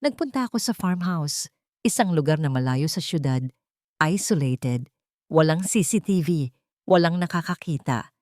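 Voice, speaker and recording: A woman's voice: sits low, lively intonation, normal speed, a little mellow, no room sound, clean studio sound. A woman with a Filipino accent narrating a scripted dramatic story in the first person.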